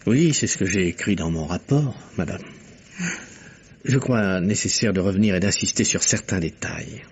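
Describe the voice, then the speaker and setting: Husky, courteous gentleman detective. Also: low-pitched, normal speed. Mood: insistent, analytical. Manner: precise, theatrical, explanatory delivery. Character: gentleman amateur detective, courteous, keenly analytical. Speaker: actor. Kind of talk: vintage French radio mystery acting. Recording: muffled old radio drama recording.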